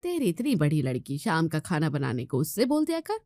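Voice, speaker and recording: A woman's voice: sits low, wildly swooping pitch, fairly quick, warm tone, dry recording, clean studio sound. A woman voicing a nosy, critical middle-aged neighbour in a moral story, gossipy and needling in manner.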